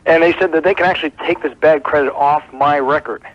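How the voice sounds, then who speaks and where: A man's voice: on the high side, fairly even intonation, fast talker, a little hiss or hum. A man from the United States calling in to a radio show, talking casually over the phone. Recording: dull lo-fi call on radio broadcast.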